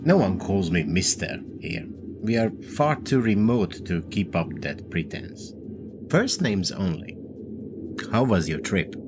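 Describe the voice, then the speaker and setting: Man acting a lowish, animated, courteous hotel manager. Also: measured pace, music in the background, exaggerated voice. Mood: genial, reassuring. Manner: effusive, formal, polite. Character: courteous, genial male manager of a remote hotel. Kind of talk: audio drama acting.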